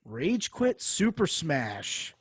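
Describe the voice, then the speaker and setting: A man's voice: a little high, big pitch swings, extremely slow, husky, no echo. A man hosting a podcast in casual chat, bantering informally with plenty of slang. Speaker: podcast host.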